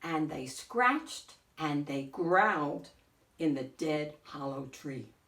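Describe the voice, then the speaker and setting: A woman reading a picture book aloud as host, in a deliberate, theatrical storytelling way. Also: low voice, lively intonation, a bit bright, recorded from a distance. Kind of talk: expressive picture-book narration. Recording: echoey room, off the mic.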